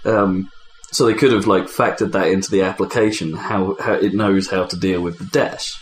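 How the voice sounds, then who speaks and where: A man's voice: deep voice, steady tone, moderate pace. A man hosting a casual gaming podcast, rambling and opinionated in his talk. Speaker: podcast host. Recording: echoey room with faint background noise.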